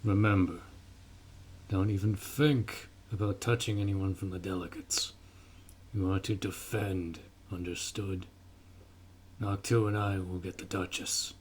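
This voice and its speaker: Man playing a deep, measured orc warrior commander. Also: fairly even intonation, slight background noise. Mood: hushed, commanding. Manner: formal, commanding, measured delivery. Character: male orc warrior commander, stern, honorable, protective. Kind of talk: scripted fantasy audio roleplay acting.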